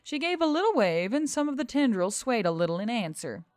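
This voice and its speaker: A woman reading scripted fiction as an expressive story narrator. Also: on the high side, fairly quick, no room sound, studio quality, faint music behind. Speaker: audiobook narrator.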